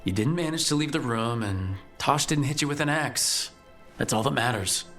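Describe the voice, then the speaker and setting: An actor playing a steady, wry young adventurer leading a group in a video game, direct and plain-spoken. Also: male voice, medium pitch, moderate pace, faint music behind, close to an everyday voice. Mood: reassuring.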